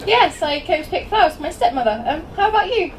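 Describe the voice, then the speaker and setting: A woman's voice: very high voice, animated, fast. A woman playing a sweet, shy, naive young stepdaughter in an amateur pantomime, with polite, innocent delivery. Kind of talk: amateur pantomime stage acting. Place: small indoor venue before an audience. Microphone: far camera. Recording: distant camera, faint background noise.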